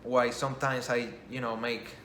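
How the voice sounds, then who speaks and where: A man, not a native English speaker, rambles through a casual game review, restarting and correcting himself as he goes. His voice sits at a middle pitch and carries an echo from the room. A faint hum of ambience runs behind him. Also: little pitch movement, moderate pace, fairly bright tone. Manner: rambling, self-correcting, enthusiastic. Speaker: game commentator.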